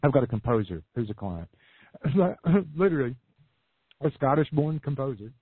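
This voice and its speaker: A man coaching entrepreneurs in a podcast interview, losing his voice, talking in an energetic sales pitch with many restarts. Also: normal speed, slightly rough, dry recording. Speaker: business coach guest. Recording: phone-like lo-fi dry audio.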